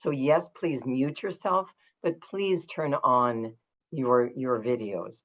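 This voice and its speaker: A woman guest speaker beginning her remarks on a video call, unhurried and hesitant with fillers. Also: a bass voice. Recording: tinny phone-like line, distant from the mic.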